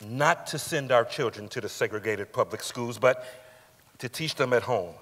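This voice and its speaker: A middle-aged man on stage playing a dignified, resolute father giving measured dramatic narration. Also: medium pitch, fairly bright tone, lightly acted. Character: dignified, resolute father recounting his community's struggle. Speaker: actor in a staged reading. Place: large theatre stage, indoors. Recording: echoey theatre hall, long reverb.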